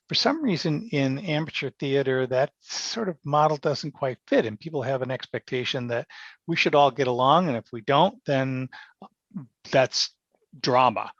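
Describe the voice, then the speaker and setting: A man talking casually and reflectively as a podcast guest, thoughtful and halting, often pausing mid-sentence. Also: medium pitch, lively intonation, dry recording. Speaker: podcast guest, amateur theater member. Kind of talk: casual reflective interview conversation. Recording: dry, dull lo-fi sound.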